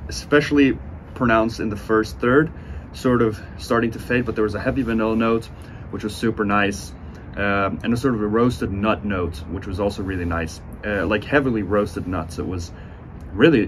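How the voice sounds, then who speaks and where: A man in his twenties giving a casual, unhurried review to camera, speaking with enthusiastic praise. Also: lowish voice. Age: 20s. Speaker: cigar reviewer, host. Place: outdoors on a sunny porch by windows. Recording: outdoor ambient noise.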